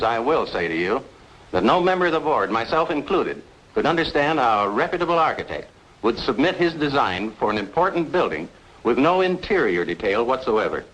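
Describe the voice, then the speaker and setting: A man's voice: mid-range voice, big pitch swings, a little fast, fairly bright tone, low-quality recording. An actor playing a haughty older committee chairman, formal and curt, in an old-time radio drama. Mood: affronted, firm.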